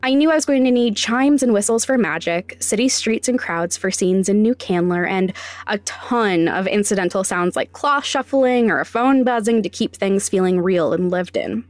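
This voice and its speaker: A woman's voice: mid-range voice, animated, moderate pace, no echo, soft background music. A young adult woman hosting a podcast, narrating conversationally in a candid, informal, wryly self-deprecating way. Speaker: podcast host and sound designer.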